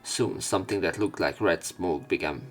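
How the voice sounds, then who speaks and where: A man's voice: deep voice, moderate pace. A man reading visual novel text aloud for a let's play, dropping in casual, sweary asides.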